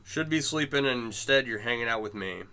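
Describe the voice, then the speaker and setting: A man's voice: medium pitch, expressive, a little fast, bright, forceful tone, clear, resonant tone. A middle-aged man chatting casually on a livestream while he works on chainmail, greeting and answering his viewers. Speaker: chainmail maker streaming live.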